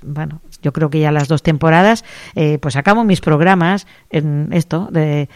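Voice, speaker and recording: A woman hosting a radio interview, talking gently and encouragingly and repeating her phrases. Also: sits low, fairly even intonation, moderate pace. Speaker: radio host.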